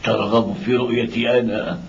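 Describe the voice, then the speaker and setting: An actor playing a humble, pious, blind old father in a dramatic cartoon dub, with groans and drawn-out exclamations. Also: mid-range voice, little pitch movement, natural voice. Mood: sorrowful disbelief. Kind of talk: animated drama dub acting. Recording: dull lo-fi cartoon soundtrack.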